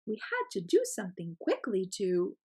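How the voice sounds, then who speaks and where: Woman doing a kids story read aloud, expressive, bit of husk in the voice. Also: medium pitch, normal speed, slightly rough. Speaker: storyteller reading a picture book aloud.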